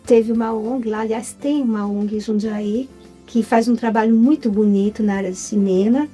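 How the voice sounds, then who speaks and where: A woman's voice: fairly high pitch, steady tone, moderate pace, warm tone, soft background music. A Brazilian-accented woman, a guest in a casual interview, telling her story in an upbeat way. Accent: Brazilian Portuguese. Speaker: guest, writer and film producer.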